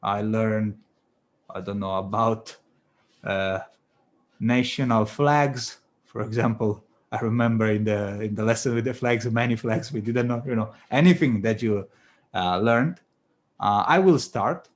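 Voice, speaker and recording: A man's voice: fairly low pitch, measured pace. A man with an Italian accent, teaching English on a video call and giving encouraging feedback with many fillers. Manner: relaxed, encouraging, full of fillers. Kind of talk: online class feedback round.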